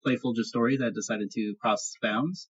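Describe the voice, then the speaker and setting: A man narrating a tabletop game as game master, improvising in a halting way and repeating phrases. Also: medium pitch, steady tone, slightly rough, lo-fi sound.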